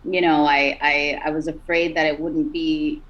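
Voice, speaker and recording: A woman singer with a raspy, rugged voice talks as a podcast guest. She keeps it loose and casual, with lots of "like" and "you know". Her voice sits low, and the recording sounds a little dull. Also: measured pace. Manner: relaxed, many fillers like "like" and "you know". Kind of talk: casual interview talk.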